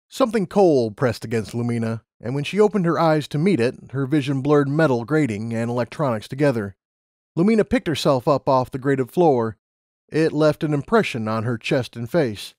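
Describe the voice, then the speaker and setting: A man's voice: mid-range voice, a little mellow, no room sound, clean studio sound. A man reading a scripted story as an audiobook narrator.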